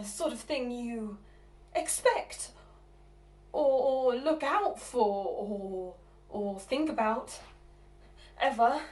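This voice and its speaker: High female voice, stammering, exasperated, theatrical monologue, halting phrases with agitated bursts, an actress playing a flustered girlfriend. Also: darkish tone. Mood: flustered, hesitant. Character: flustered, exasperated woman answering her partner's unwelcome proposal.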